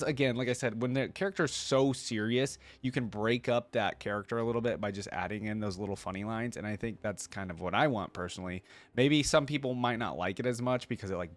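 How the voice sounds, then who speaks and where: A man's voice: mid-range voice, no echo. A man hosting a reaction video, giving casual, informal commentary and thinking aloud as he goes.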